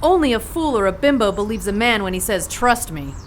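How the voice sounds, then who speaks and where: A woman's voice: mid-range voice, very animated, fairly quick, slight background noise, close to an everyday voice. A woman playing a plucky, sassy 1940s heroine who narrates her adventure in an audio drama with brash, wry slang. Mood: sassy, wary.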